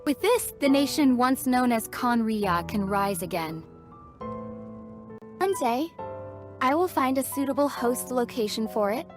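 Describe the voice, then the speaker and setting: A woman's voice: a little high, expressive, measured pace, pauses often. A woman playing a solemn, resolute young princess in a video game, speaking earnestly and formally as if burdened by old guilt. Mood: solemn, determined. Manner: earnest, formal storytelling delivery. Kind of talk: dramatic video game dialogue. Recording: studio voice over background music.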